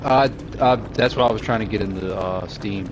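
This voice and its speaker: A man's voice: lowish voice, normal speed, lo-fi sound, background noise. A man chatting casually with a friend over voice chat, joking around loosely. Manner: joking, loose, says bro and fellas.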